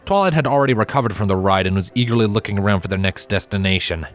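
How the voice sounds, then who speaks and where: A man's voice: on the low side, expressive, very fast, soft background music. A man reading a scripted story aloud as its narrator. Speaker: fan fiction reader.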